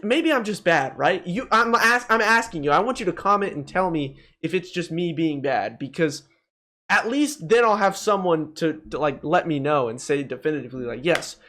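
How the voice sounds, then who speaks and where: A young man giving casual, opinionated, joking commentary as he ranks things in a gaming video. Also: a little high. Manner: animated, joking, mildly profane.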